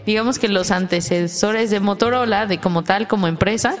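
Woman, Mexican accent, average pitch, podcast co-host, informal explanations, agreeable, faint music behind. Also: a little fast. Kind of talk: casual explanatory chat.

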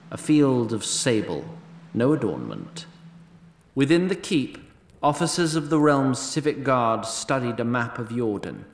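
A man narrating a scripted fantasy audio drama in a measured, dramatic storytelling manner. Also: medium pitch, echoey room.

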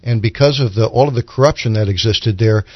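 A man's voice: fairly low pitch, brisk, no room sound. A man speaking as a guest commentator in a radio Bible discussion, explaining didactically and quoting scripture. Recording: slightly dull, band-limited radio sound.

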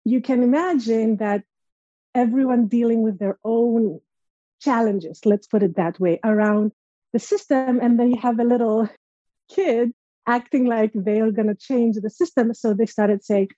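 A woman's voice: on the high side, unhurried, dark tone, no echo, highs cut off. A young woman from Greece telling a personal story as a podcast guest, earnest and reflective. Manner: earnest, reflective, acts out quoted voices.

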